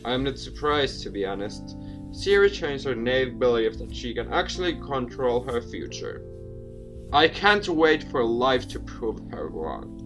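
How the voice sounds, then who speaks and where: A man's voice: medium pitch, very animated, a little slow, over music. A young man hosting a gaming video, reading a visual novel aloud theatrically with casual asides. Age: young adult.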